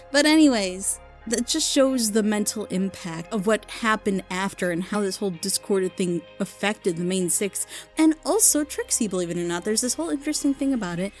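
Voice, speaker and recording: A woman's voice: medium pitch, moderate pace, soft background music. A woman giving casual, unscripted recommendations in an enthusiastic, rambling way, as a fan reviewer. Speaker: reviewer recommending audio dramas.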